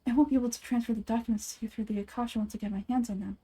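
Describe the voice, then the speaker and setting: A woman's voice: fairly high pitch, fairly even intonation, racing, warm tone, husky. A woman voicing an earnest, guarded scholar's assistant in a video game, serious and careful.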